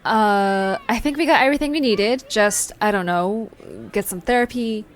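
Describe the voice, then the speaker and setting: A woman's voice: mid-range voice, moderate pace, lightly acted. A woman playing a blunt, easily flustered young amateur investigator in an audio drama, with a hesitant, sardonic way of talking. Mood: awkward. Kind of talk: audio drama dialogue, conversational.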